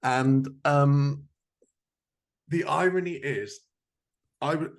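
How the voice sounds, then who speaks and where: A man hosting a podcast as a fellow author, chatting casually in a self-deprecating, irreverent, mildly sweary way. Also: mid-range voice. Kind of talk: casual chat, interviewing.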